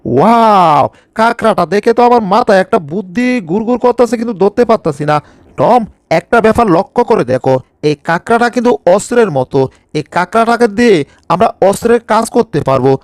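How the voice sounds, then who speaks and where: A high-voiced cartoon bulldog, played by a man, anxious but taking charge. He grumbles and scolds in exclamations. The sound is very dry. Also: animated, fast, no echo. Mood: scheming. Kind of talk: cartoon fan dub.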